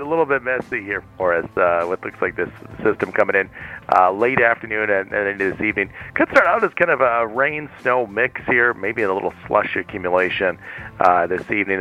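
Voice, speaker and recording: A man calling in to a radio show as meteorologist, giving a relaxed, rambling forecast full of fillers. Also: deep voice. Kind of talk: weather forecast call-in. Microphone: phone. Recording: tinny phone line.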